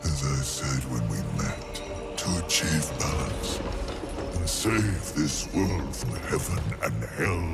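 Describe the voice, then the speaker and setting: An ancient otherworldly lord speaks over loud game music in a rock-bottom, gravelly voice. The delivery is solemn, formal and theatrical, with grand swings in intonation. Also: male voice, heavily acted. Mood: resolute, fateful. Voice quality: very deep, gravelly. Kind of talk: video game cutscene acting.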